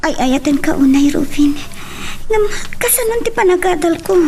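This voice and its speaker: Very high-pitched, anxious schoolgirl, Ilocano accent. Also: expressive, moderate pace, a little mellow, a little hiss or hum. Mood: fretful. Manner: pleading, fretful. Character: anxious, love-struck schoolgirl daughter named Nicole. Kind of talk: radio drama acting.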